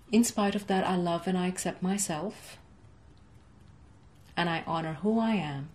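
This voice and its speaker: A young woman coaching a guided tapping session from her home office, speaking in slow, soothing, rhythmic repeated phrases for the listener to echo. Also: lowish voice, a little mellow. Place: home office with framed certificates, indoors.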